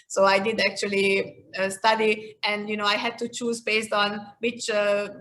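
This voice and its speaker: A woman's voice: mid-range voice, little pitch movement, moderate pace, fairly bright tone, slight background noise, poor audio. A woman in her fifties from Hungary with a Hungarian accent, answering interview questions in a reflective, conversational way. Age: 50s. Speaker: interview guest, leadership expert.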